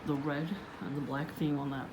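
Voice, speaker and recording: New lady chatting low into her phone, rambly, lots of like and you know, noisy recording. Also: little pitch movement, normal speed. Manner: chatty, meandering, frequent fillers and restarts. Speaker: vlogger. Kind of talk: casual rambling vlog. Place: outdoors, windy.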